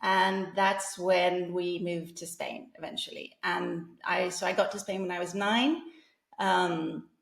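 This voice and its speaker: A woman's voice: fairly low pitch, fairly even intonation, slow pace, vocal fry, echoey room. A woman in her forties from England, talking casually as an interview guest, with many fillers and restarts. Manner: chatty, frequent fillers and restarts, laughs often.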